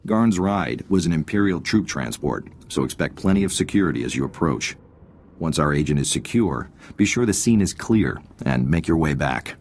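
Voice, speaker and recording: A wry, collegial military intelligence officer in a video game. The voice is extremely low, with a businesslike delivery. A faint ambience of noise sits behind it. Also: male voice, animated, moderate pace, a little mellow. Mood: briefing, businesslike. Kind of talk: scripted video game dialogue.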